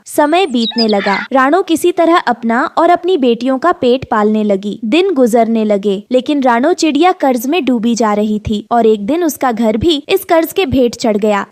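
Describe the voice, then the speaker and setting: High-voiced woman narrating a story. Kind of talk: scripted children's story narration.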